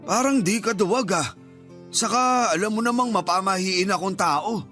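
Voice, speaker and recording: A man's voice: sits high, normal speed, bright, forceful tone, faint music behind. A Filipino man playing a nervous, superstitious middle-aged uncle who scolds and nags in a radio drama. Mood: scolding, familiar. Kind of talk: Tagalog radio drama acting.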